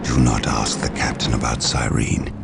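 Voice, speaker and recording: An actor playing a stern, menacing psychic space marine librarian in a video game cutscene, speaking in a measured, imperious way. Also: male voice, flat delivery, heavily acted. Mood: stern, commanding. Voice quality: deep, gravelly. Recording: loud game music behind the voice.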